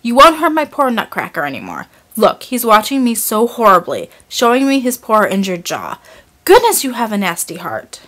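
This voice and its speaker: A woman's voice: fairly high pitch, wildly swooping pitch, normal speed, a bit bright, exaggerated voice. A woman voicing a sweet, tender-hearted little girl in a storybook reading. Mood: distressed.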